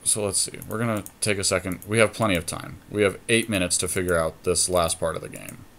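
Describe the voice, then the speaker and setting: A man co-hosting a gaming podcast, talking casually and deliberately as he works out strategy aloud during a card game. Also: deep voice, expressive, creaky, a bit bright, a little hiss or hum. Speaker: podcast co-host commentating on own card game play.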